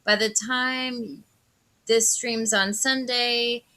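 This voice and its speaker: A woman's voice: fairly high pitch, fairly even intonation, drawn-out words, bright, forceful tone. A woman chatting casually in a friendly, agreeable way as co-host of a talk show. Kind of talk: casual chat.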